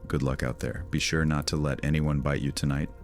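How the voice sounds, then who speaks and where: A cruel vampire lord , voiced by a man, taunts and threatens with a sneer. His voice sits extremely low. Faint music plays behind him. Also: flat delivery, fairly quick. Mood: menacing. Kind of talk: audio drama roleplay.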